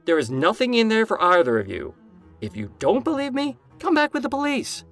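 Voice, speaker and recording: An actor playing a proud, defensive heir to a family business in an audio drama, brooding and imperious, over music. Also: male voice, sits high, huge pitch swings, fairly quick, fairly bright tone, heavily acted. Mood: curt, defiant. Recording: music underneath.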